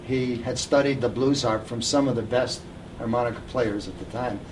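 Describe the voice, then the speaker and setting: A man's voice: medium pitch, moderate pace, recorded from a distance, background noise. An older man telling informal anecdotes to a live audience, recorded at an event. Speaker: guest storyteller at a reunion event.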